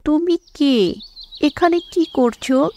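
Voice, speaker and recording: A woman's voice: sits high, takes it slowly, dark tone, soft background music, voice pushed up. A woman voicing a curious, timid little village girl in a cartoon, with a wide-eyed questioning manner. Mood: surprised, wary.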